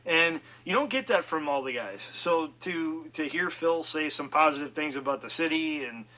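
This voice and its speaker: A male sports reporter chatting as a guest on a podcast, explaining in a measured way. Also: on the high side, lively intonation, sharp tone, rough recording. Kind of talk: casual sports chat.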